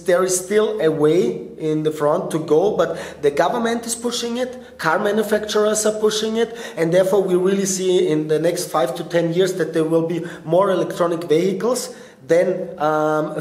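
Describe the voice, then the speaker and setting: A man's voice: sits high, a little mellow. A man in his thirties, born in Bosnia and raised in Austria, as an interview guest, giving earnest answers with a slight hesitance and frequent fillers. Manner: earnest, slightly halting non-native English with fillers. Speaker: startup business developer, interview guest. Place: event press area in front of a logo backdrop, indoors. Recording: roomy event interview recording.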